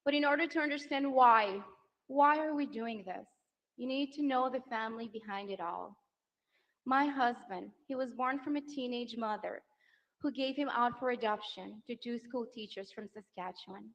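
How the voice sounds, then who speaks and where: A high-pitched woman delivers a heartfelt rally speech on a handheld mic. She rises from soft, emotional passages to shouted lines over a big outdoor crowd. The broadcast sound is a little dull. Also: moderate pace. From Venezuela. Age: 30s. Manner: emotional, rousing, builds to shouted applause lines. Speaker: political spouse introducing candidate.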